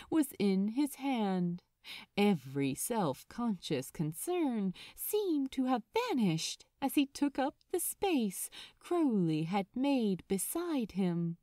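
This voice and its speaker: A woman narrating a story in a dramatic, deliberate way, with emphatic pauses. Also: mid-range voice, dark tone, no room sound, studio quality. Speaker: audiobook narrator of fan fiction. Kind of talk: expressive scripted story narration.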